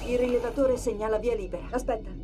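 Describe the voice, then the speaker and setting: A woman voicing a calm, precise military AI companion with dry wit in a video game. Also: on the high side, fast talker, music in the background, close to an everyday voice. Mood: composed, urgent. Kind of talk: video game voice acting, tactical briefing.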